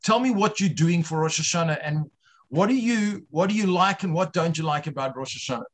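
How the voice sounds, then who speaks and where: A man teaching an informal online religious class, putting questions to his students in a halting way, often repeating himself. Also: a little high, animated.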